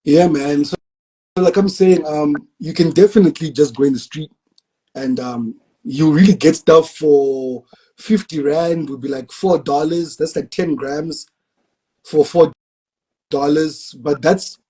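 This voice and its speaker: Husky-voiced South African man chatting. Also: on the high side, unhurried, dark tone. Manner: loose, laid-back slang, frequent restarts. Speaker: podcast guest. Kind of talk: casual chat.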